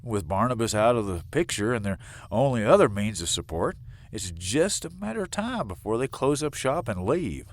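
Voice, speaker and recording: An actor playing a plain-spoken, hot-headed but fair sheriff in an audio drama, blunt and informal. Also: male voice, medium pitch, sing-song, moderate pace. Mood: combative.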